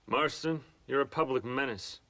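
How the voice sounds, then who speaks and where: An actor playing a stern, hostile government agent in a video game, speaking in a blunt, clipped, accusing manner. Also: male voice, medium pitch, normal speed, bright, forceful tone. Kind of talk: video game cutscene acting.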